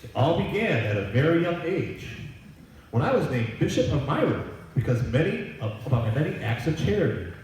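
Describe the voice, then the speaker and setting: A man voicing a kindly, reassuring God in a storybook reading, warm and gentle in manner. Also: fairly high pitch, very animated, normal speed, slight background noise. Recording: echoey room, distant microphone.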